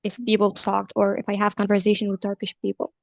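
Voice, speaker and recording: A woman's voice: mid-range voice, completely flat delivery, quick pace, gravelly, a little mellow, dry recording. A young woman whose first language is Arabic, answering in class with careful, simple phrasing. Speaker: student.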